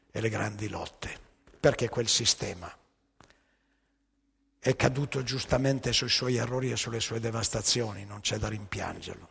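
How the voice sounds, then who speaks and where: A very husky man performs a theatrical monologue in a reverberant hall with music behind him. He speaks slowly and rhetorically, setting out short, emphatic phrases like a stage storyteller. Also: on the low side, a bit bright.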